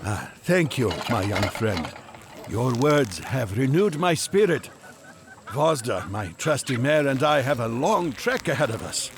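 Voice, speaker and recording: An actor playing an earnest, devout seeker in an audio drama, hopeful and resolute, with formal, impassioned delivery. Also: male voice, mid-range voice, sing-song, a little slow, noisy background. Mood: grateful.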